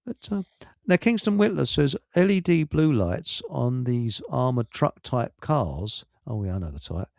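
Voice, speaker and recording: A man with a lively, swooping delivery over a gravelly creak. He rambles casually as a radio host, blunt and grumbling, dropping mild British swear words. The sound is close and dry. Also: mid-range voice, unhurried, no room sound. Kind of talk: rambling casual live chat.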